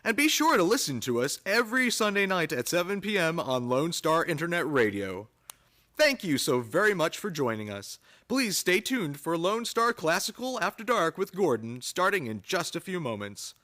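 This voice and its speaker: A man's voice: a little high, sing-song, normal speed, bright, forceful tone. A man reading scripted credits as a radio announcer, recorded live in a theater. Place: theater stage, live performance.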